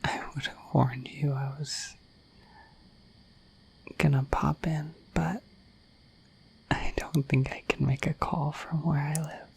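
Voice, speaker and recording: The bashful dragon a woman acts out in a bedtime ASMR roleplay, murmuring slow, halting, affectionate apologies in a breathy whisper right beside your pillow. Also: low voice, darkish tone, heavily acted, poor audio. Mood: bashful, apologetic. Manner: bashful, hesitant, apologetic, rambling. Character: shy, dorky, affectionate dragon visiting overnight.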